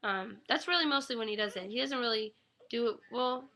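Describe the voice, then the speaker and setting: A young woman recovering from an illness, her voice a little raspy, rambling casually about her newborn son with lots of ums. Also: on the high side, a little fast, pushed and bright. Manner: rambling, many ums, coos baby talk to her infant. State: getting over an illness. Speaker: new mother vlogger. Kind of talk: casual webcam update. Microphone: webcam mic.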